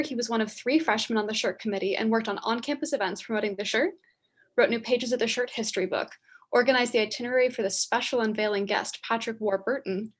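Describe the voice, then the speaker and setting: A young woman with a soft voice, a student treasurer reading a formal nomination letter aloud. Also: on the high side. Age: young adult.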